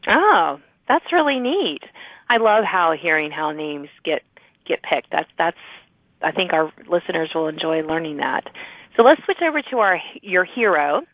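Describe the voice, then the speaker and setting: A woman's voice: low-pitched, lively intonation, measured pace, dry recording. A woman hosting a podcast, interviewing a guest in a conversational style, with narrow phone-like audio. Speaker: podcast host.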